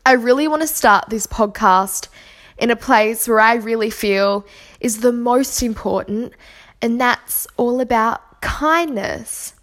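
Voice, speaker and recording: A woman's voice: fairly high pitch, takes it slowly. A woman hosting a solo podcast, talking earnestly and reflectively while nursing a cold and sore throat. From Australia. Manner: earnest, reflective, gently encouraging. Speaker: podcast host. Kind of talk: solo podcast monologue on kindness.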